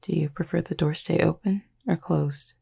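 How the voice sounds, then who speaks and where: Woman playing a low, husky, formal demon roommate. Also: steady tone, exaggerated voice. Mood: amused, caring. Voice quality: husky, breathy, gravelly low voice. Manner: formal, measured, softly teasing speech. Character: calm, formal, gently teasing cupid demon roommate with horns. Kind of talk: soft-spoken roleplay sleep-aid audio.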